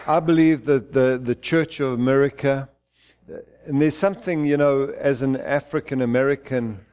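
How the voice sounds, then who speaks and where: A middle-aged male pastor from Zimbabwe preaching in a church, fervent and declaiming, though his phrases often stumble and restart. His voice is dark and mellow, sitting at an ordinary man's pitch. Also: steady tone, moderate pace, clear, resonant tone. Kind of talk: sermon.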